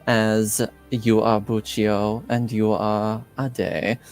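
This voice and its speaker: A man's voice: lowish voice, level tone, a little mellow, faint music behind. A man voicing a poised, well-informed middle-aged noblewoman in a tabletop game, speaking formally with cool condescension. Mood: courteous, composed. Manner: formal, measured, coolly condescending.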